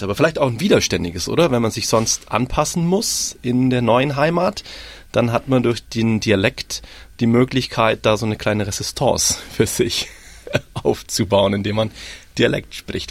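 A man's voice: on the low side, vocal fry, dry recording. A man moderating a panel discussion, putting questions to the group in an engaged way. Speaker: host, moderator.

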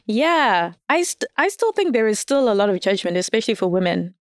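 A woman's voice: mid-range voice, very animated, a little fast, no echo, clean studio sound. A woman as a podcast guest, chatting casually, laughing often and telling stories with animation. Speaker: guest, audio fiction writer. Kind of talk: casual interview chat.

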